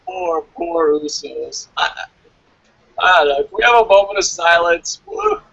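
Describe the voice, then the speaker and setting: A man's voice: a little high, lively intonation, moderate pace, vocal fry, a bit bright, no echo, dull audio. A man co-hosting a wrestling review show in casual, opinionated chat, speaking bluntly and with plenty of swearing. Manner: profane, blunt.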